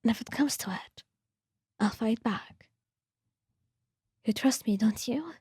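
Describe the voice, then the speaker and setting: A woman voicing a gentle, reassuring companion in a slow, breathy, soothing ASMR roleplay whisper. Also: a little high, no echo, studio quality, heavily acted. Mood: soft, soothing.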